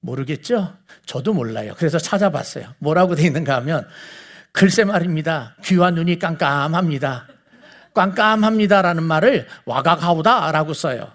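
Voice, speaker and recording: A man's voice: on the high side, expressive, normal speed, fairly bright tone. A man with a Seoul accent giving a conversational Bible teaching, explaining things and putting questions to his listeners. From South Korea. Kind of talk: conversational sermon lecture to a congregation.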